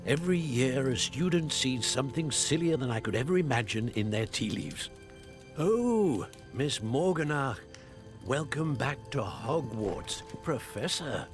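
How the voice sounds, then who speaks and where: Man doing wise kindly older wizard prof from a game, formal wording, really expressive pitch, music behind. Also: measured pace, close to an everyday voice. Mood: cordial welcome. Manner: formal, eloquent. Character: wise, kindly older male wizard professor, gently cautioning. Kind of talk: video game voice acting, measured dialogue.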